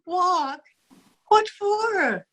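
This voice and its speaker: A woman's voice: very high voice, animated, drawn-out words, a bit bright, exaggerated voice, poor audio. A woman in a radio play voicing an eager, carefree young boy, a little brother sent on errands. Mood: eager, reluctant.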